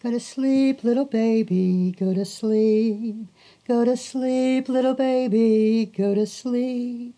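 A woman's voice: a little high, fairly even intonation, voice pushed up. A woman singing as a tender young mother. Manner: soft, slow lullaby singing.

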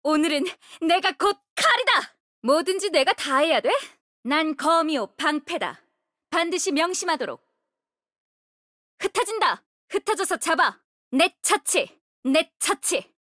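A woman's voice: extremely high pitch, expressive, measured pace, pushed and bright, no room sound, clean studio sound, full character voice. A woman voicing a resolute, composed young female healer and guardian in a video game, speaking firmly with a solemn, commanding manner. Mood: composed, determined. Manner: solemn, commanding, short crisp delivery. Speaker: game character voice actor. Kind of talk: video game character voice lines.

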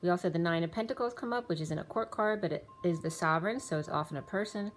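Slightly low-voiced woman reading tarot. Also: fast talker, a little hiss or hum. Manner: rambling, many restarts and fillers, reads off card names and times. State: losing her voice. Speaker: tarot reader. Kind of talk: casual spoken tarot reading. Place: indoors, room with a table of spread cards.

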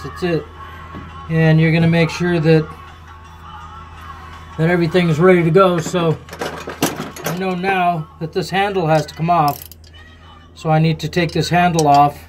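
Laid-back man talking through a boat repair. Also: on the high side. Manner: relaxed, thinking aloud while working, plain folksy talk. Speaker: boat owner showing a repair. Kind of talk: casual hands-on how-to narration. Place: aboard a boat, in the bilge.

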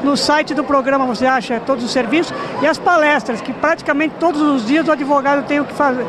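A high, quick voice belongs to a middle-aged man with an effusive, flattering manner, interviewing a guest on TV. He holds a handheld mic in a packed ballroom. Crowd chatter and a loud band fill the noisy background. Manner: effusive, fast talking, flattering. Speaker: TV program host interviewer. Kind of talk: TV interview at a gala. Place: large indoor ballroom party. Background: crowd chatter and band noise.

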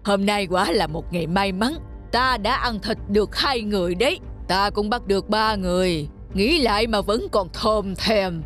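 A man's voice: sits high, normal speed, a bit bright, faint music behind. Gloating man-eating cartoon demon. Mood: gloating, hungry. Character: boastful man-eating male demons, hungry and menacing. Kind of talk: cartoon folk-tale acting.

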